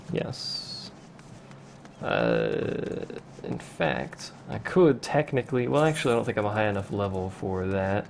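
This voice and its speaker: Creaky-voiced man streaming a game. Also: mid-range voice, slight background noise. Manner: chatty, reads chat questions aloud, wry asides. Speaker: let's play streamer. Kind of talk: casual gameplay commentary.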